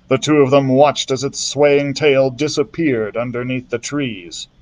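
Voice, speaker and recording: A male librarian reading a children's adventure book aloud in a steady storytelling manner, with the odd slip. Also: mid-range voice. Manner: steady storytelling narration with small slips.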